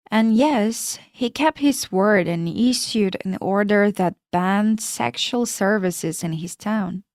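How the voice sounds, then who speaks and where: A woman's voice: medium pitch, a little mellow, dry recording, clean studio sound. A woman narrating a first-person life story in a plain, matter-of-fact reading.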